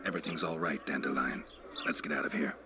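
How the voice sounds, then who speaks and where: A man playing a stoic, dry-witted monster hunter, terse and deadpan in game dialogue. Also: a bass voice, fast, crowd noise. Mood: calm, dry.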